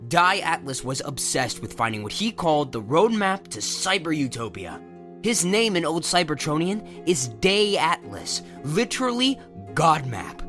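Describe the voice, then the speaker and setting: A man's voice: on the high side, sing-song, unhurried, a bit bright, soft background music. A man playing a fervent robot believer in an audio drama, intense and reverent. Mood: insistent.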